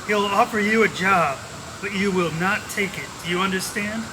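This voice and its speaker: An actor playing a coolly threatening crime boss who gives deliberate orders in an old-time radio detective drama. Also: male voice, sits high, lightly acted. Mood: cool menace. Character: menacing male crime boss giving cool orders. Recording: noisy, hissy radio play recording.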